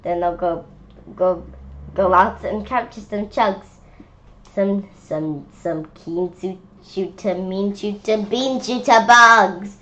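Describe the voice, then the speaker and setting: A woman's voice: mid-range voice, takes it slowly, sharp tone. A woman reading a rhyming picture book aloud informally, often stumbling over words and repeating phrases. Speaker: children's book read-aloud reader.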